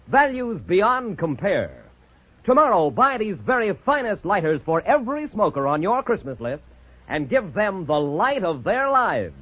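A man's voice: high voice, husky. A man announcer reading a 1940s radio commercial with emphatic salesmanship. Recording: muffled old radio recording.

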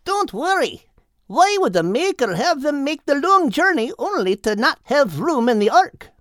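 Male seagull husband, high lilting voice, folksy, affectionate, reassuring, storybook animal. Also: very animated, moderate pace, fairly bright tone, no echo, clean studio sound, heavily acted.

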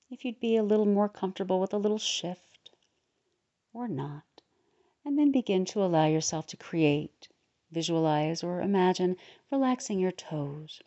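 A woman leading a guided relaxation meditation in a soothing, unhurried manner, pausing between short phrases. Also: mid-range voice, warm tone, highs cut off. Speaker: guided meditation leader, podcast host.

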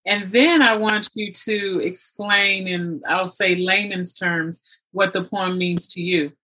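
A woman hosting an interview, guiding her guest with polite, deliberate questions. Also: medium pitch, fairly even intonation, pushed and bright, poor audio. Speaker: podcast host and author.

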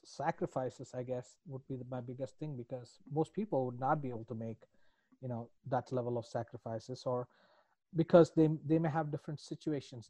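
Mellow-voiced accented man, podcast guest. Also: medium pitch, no echo. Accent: foreign accent in English. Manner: earnest, reflective, rambling with restarts. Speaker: business coach. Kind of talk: podcast interview answers.

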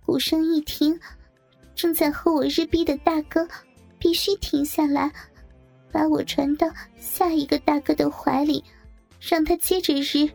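A woman voicing a distressed, trembling character who recites haltingly and painfully in an audiobook story. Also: high voice, little pitch movement, gravelly, a little mellow, faint music behind, pitched above the performer's own voice. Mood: pained, submissive. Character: trembling, distressed person reciting under duress.

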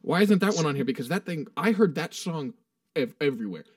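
A man's voice: fairly high pitch, expressive, fairly quick, no echo, clean studio sound, recorded from a distance. A man co-hosting a podcast, chatting loosely and swearing as he reads through a ranked song list. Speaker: podcast co-host. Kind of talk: casual chat.

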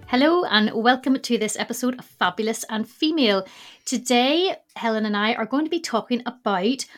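A woman's voice: on the high side, lively intonation, moderate pace, soft background music. Upbeat woman co-hosting a podcast. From Ireland. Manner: upbeat, enthusiastic, laughs along. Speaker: podcast co-host. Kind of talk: scripted podcast intro, then interviewing.

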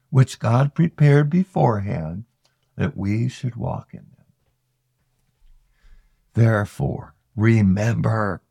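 A man reading scripture aloud solemnly, with measured, deliberate phrasing and frequent pauses. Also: a little high, creaky, darkish tone, dry recording, studio quality. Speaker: scripture reader.

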